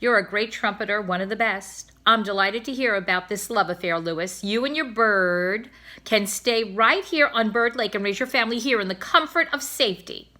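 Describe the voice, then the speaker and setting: A woman's voice: mid-range voice, moderate pace, bright, forceful tone, natural voice. A woman voicing a kindly but firm male zoo bird keeper in a storybook, speaking emphatically and persuasively. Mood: kindly, delighted.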